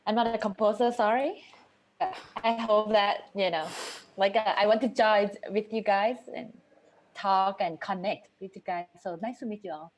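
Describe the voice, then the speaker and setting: A woman's voice: medium pitch, normal speed, muffled recording, slight background noise. A woman from Thailand who is a conductor introduces herself on a video call in a chatty, slightly apologetic way.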